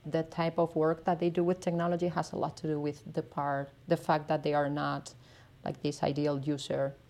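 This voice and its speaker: Low, gravelly Spanish-accented woman. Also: little pitch movement, moderate pace, a little mellow. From Spain. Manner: chatty, self-deprecating, laughs often. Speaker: artist and researcher guest. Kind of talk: casual interview chat.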